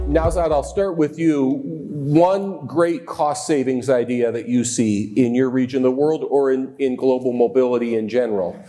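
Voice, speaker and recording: A man moderates a conference panel, introducing speakers and framing questions in a brisk, structured way. His tone is warm and mellow at a middle pitch. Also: fairly even intonation, soft background music. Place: large conference hall stage. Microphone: lapel mic.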